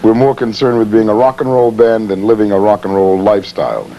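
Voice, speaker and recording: A man interviewing a rock band, brisk and friendly, on a dull archival broadcast tape. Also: on the low side, a crowd in the background. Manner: brisk, friendly, laughs along.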